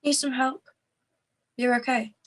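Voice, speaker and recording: A woman's voice: high-pitched, steady tone, measured pace. A woman acting a tense, exasperated heist crew member in a screenplay table read. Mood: concerned.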